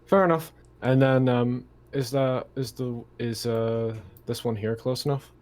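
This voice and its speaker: A man's voice: medium pitch, steady tone, unhurried, darkish tone. A man playing a tabletop game, talking casually in a hesitant, tentative way.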